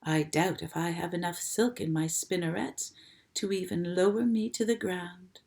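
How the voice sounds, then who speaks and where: Woman reader as a weary female spider: quiet, low, calm, storybook. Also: moderate pace, lightly acted. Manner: soft, low, calm and tired. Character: gentle, dying female spider, calm and wise.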